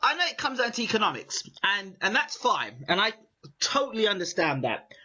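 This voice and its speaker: A man with a strong British accent interviewing a guest, building his questions in long excited runs. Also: high-pitched, fairly quick, crowd noise. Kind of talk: animated interview chat. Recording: muffled lo-fi audio.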